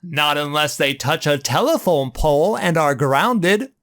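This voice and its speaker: A man voicing a calm, confident male superhero in a comic dub, steady and lecturing. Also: a little high, unhurried, voice pushed down. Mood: stern.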